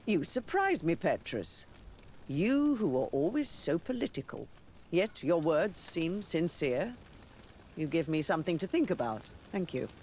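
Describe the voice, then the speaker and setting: A grave high church dignitary in a game, formal, pretty high voice that swings around a lot. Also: male voice, sing-song, measured pace, a little mellow, a little hiss or hum. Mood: thoughtful. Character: dignified, cautious high church dignitary, weighing hard choices. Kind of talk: scripted video game cutscene acting.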